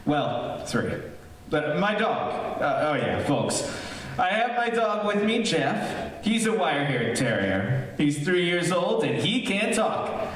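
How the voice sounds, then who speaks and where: A glib, skeptical radio broadcaster, acted by a man in a 1940s-style radio play, with a highish, lively voice. He chats in halting asides to the folks at home, heard from a distance in a very reverberant room. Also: full character voice. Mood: jokey, nervy. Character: jokey, skeptical male radio broadcaster on a ghost hunt. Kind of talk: 1940s radio acting, live on-location commentary. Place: echoey hall, stage-style radio play performance. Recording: distant, very echoey room with faint noise.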